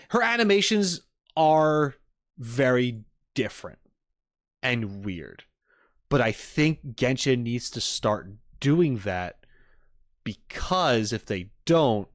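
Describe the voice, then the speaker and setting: A man casually talking to his stream chat as a reaction streamer, giving his opinions emphatically. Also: mid-range voice. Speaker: streamer reacting to game trailers.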